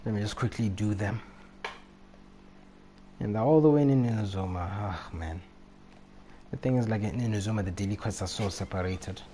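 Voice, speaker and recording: A man's voice: lowish voice, moderate pace, long pauses, a little hiss or hum. A man livestreaming a video game, chatting casually with viewers in an offhand, half-muttering way. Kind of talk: casual chat with viewers while playing. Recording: stream voice with loud game music. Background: video game music.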